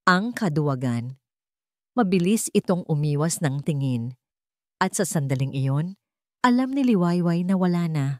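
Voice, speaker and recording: A woman's voice: low-pitched, a little mellow, no room sound, studio quality. A woman storyteller reading a scripted, dramatic love story narration.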